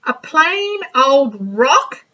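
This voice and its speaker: Woman voicing a small, fussy, slow-talking little guy. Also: high-pitched, very animated, pushed and bright, dull audio, pitched above the performer's own voice, full character voice. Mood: dismayed. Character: Tiny, small, fussy, bossy, worried male friend.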